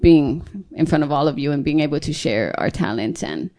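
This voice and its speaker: A woman's voice: low-pitched, lively intonation, normal speed, warm tone. A young woman from Hawaii, a native Hawaiian speaker, telling personal stories casually as a singer-songwriter being interviewed. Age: young adult.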